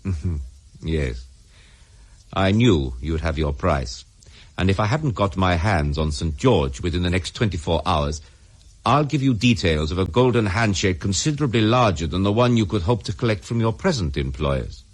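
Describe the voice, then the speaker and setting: An actor playing a cool, calculating Soviet major in a radio drama, husky and controlled, with an insinuating manner. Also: male voice, low voice, lively intonation, moderate pace. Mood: cool, conspiratorial.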